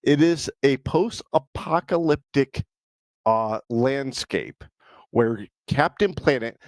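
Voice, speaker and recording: A man co-hosting a podcast, chatting casually in an excitable, jokey, profane manner. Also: medium pitch, takes it slowly, dry recording. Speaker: podcast co-host. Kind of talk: casual comedic podcast chat.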